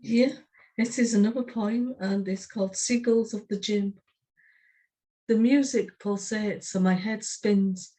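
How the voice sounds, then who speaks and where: A woman's voice: medium pitch, a little mellow, rough recording. A woman co-hosting a podcast, reading her own poetry and chatting casually between pieces. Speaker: podcast co-host, poet.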